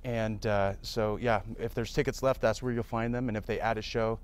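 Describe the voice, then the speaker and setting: An American-accented man, an actor giving a TV interview, explaining things informally and haltingly with plenty of filler phrases. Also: lowish voice, steady tone, clear, resonant tone. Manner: informal, halting explanations with many "you know"s. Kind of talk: casual interview talk. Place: TV studio.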